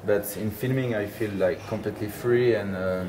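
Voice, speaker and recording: A young man from France with a French accent, a pro skier chatting in halting, relaxed English. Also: on the low side, a crowd in the background, low-quality recording. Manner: halting, relaxed, short answers. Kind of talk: casual chat. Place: lodge room with trophies, indoors.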